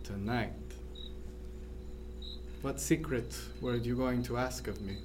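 A man playing a thoughtful ship's surgeon in a radio drama, guarded but tender, with formal, deliberate phrasing. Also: medium pitch, steady tone, background noise, lightly acted. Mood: tender, hesitant.

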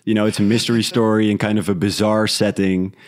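Man from the Netherlands, lowish voice, interview guest, casual reflective talk. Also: level tone, quick pace. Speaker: interview guest, audio drama creator.

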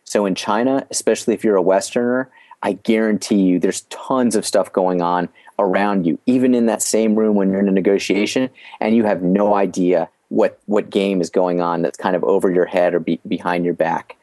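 A man speaking as an interview guest, explaining business lessons in a measured way, often restarting his phrases. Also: deep voice, steady tone, darkish tone, dry recording. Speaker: guest sharing business experience. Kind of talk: podcast interview storytelling.

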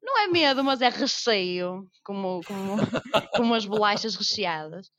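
A woman's voice: medium pitch, unhurried, dry recording, studio quality. A young woman with a northern Portuguese accent, a podcast guest who is an actress, chatting casually and laughing often. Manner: laughs often, animated storytelling.

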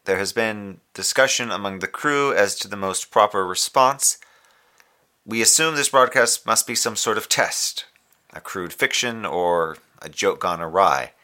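A man playing a formal, dutiful moonbase communications officer giving a measured, precise report in an audio drama. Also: lowish voice, lively intonation, sharp tone. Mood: skeptical, formal. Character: formal, dutiful male moonbase radio officer, tender underneath. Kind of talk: audio drama scripted log transmission.

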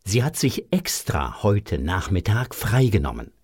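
Low, husky male storyteller. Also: sing-song, measured pace, darkish tone. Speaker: narrator. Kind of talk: scripted children's audio drama narration.

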